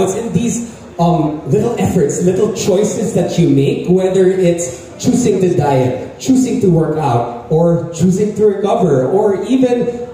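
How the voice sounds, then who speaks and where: A man's voice: high-pitched, dark tone. A man in his twenties from the Philippines, a group fitness instructor giving an upbeat motivational speech and engaging the audience. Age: 20s. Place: large indoor hall stage. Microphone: handheld mic, filmed from far. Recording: echoey hall from far off.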